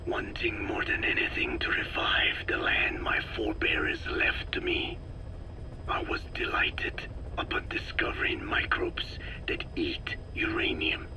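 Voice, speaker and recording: Solemn old Navajo scientist for a game: low, whispered rasp, halting and sorrowful. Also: male voice, huge pitch swings, heavily acted, poor audio. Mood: sorrowful, proud. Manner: slow, measured, halting delivery in short phrases. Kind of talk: dramatic video game dialogue.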